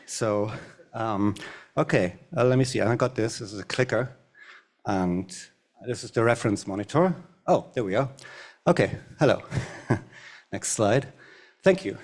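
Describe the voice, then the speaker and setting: A man's voice: medium pitch, darkish tone. A middle-aged German man with a German accent, giving a joking, self-deprecating conference talk as a panelist. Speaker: panelist designer. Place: university event hall.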